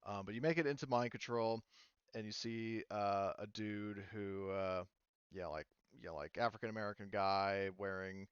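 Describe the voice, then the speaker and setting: A man working as game master, narrating a tabletop roleplaying game casually and haltingly, often correcting himself and swearing. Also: fairly low pitch, clean tone, dry recording, highs cut off.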